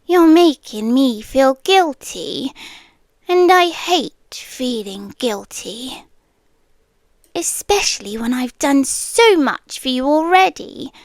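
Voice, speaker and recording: A woman's voice: sits high, expressive, no echo, heavily acted. A British woman voicing an ancient, possessive siren captor, sweetly menacing, in an intimate roleplay ASMR monologue that often drops toward a whisper. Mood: wounded, scolding. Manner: slow, coaxing, often dropping into whispers.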